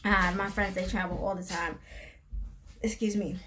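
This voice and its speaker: A woman's voice: medium pitch, flat delivery, moderate pace, fairly bright tone, a little hiss or hum. A middle-aged woman co-hosting a casual live stream, chatty and laughing often as she tells stories.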